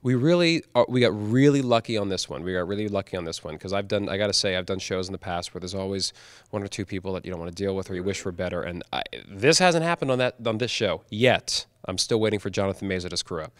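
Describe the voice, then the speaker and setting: A man chatting casually in an interview, speaking in a halting, thoughtful way. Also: sits low, dry recording, studio quality. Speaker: stage performer.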